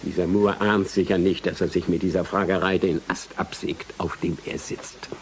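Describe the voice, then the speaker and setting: A man's voice: low-pitched, level tone, normal speed, slight background noise, lightly acted. An actor playing an arrogant, spiteful male university professor in a radio play, with a haughty, condescending manner. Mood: indignant, spiteful. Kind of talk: German radio play acting.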